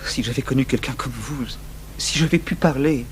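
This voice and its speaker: Over the clear hiss and hum of an old radio drama tape, a male actor plays a haunted man confessing to a killing he could not resist. His mid-pitched voice is halting and anguished, heavy with remorse. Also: natural voice. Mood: agitated. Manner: anguished, halting, swinging from outbursts to hushed murmurs. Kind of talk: French radio drama acting. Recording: noisy, humming 1980s radio broadcast tape.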